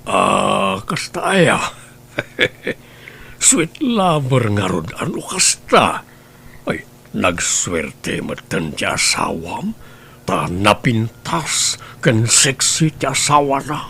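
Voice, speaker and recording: A man's voice: on the low side, very animated, extremely slow, vocal fry, sharp tone, a little hiss or hum, full character voice. A man playing a flirtatious man who teases and chats up a married woman, laughing often. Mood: flirty. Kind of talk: amateur comedy audio drama.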